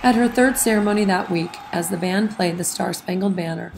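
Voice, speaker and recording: Woman reading a storybook, gravelly edge, music behind. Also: medium pitch, fairly quick, poor audio. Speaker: children's read-aloud narrator. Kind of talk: scripted storybook narration. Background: background music.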